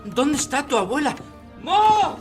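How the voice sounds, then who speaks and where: A shrill, frightened old grandfather. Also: very animated, unhurried, faint music behind, exaggerated voice. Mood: alarmed, panicking. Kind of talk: radio drama acting.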